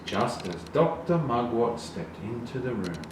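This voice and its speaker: Man, children's storybook narrator, slow expressive delivery, emphatic sound words, distant noisy recording. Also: medium pitch. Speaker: storybook reader. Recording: very echoey, distant, noisy room.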